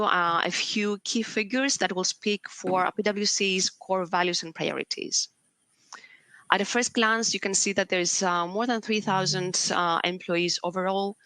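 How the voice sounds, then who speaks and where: A woman's voice: mid-range voice, sharp tone, no echo. A woman with a Greek accent presenting a corporate career webcast, fluent with frequent filler sounds. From Greece.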